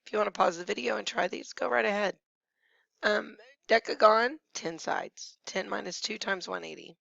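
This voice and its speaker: Hoarse woman teaching math, gravelly. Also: mid-range voice, normal speed, fairly bright tone, no echo. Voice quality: hoarse, gravelly, sometimes dropping to a whisper. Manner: patient, talks through each step while writing. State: losing her voice. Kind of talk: screencast math lesson. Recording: dry, dull lo-fi screencast audio.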